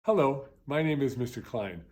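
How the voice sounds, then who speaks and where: A man in his fifties, an elementary school teacher, reading a children's story aloud in a gentle, lively way. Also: medium pitch, moderate pace. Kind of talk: children's read-aloud narration.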